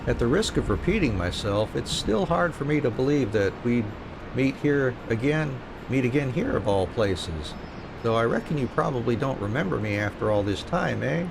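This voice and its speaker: A man's voice: mid-range voice, moderate pace, vocal fry. A man streaming a game, reading story dialogue aloud and chatting casually. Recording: steady noise behind the voice.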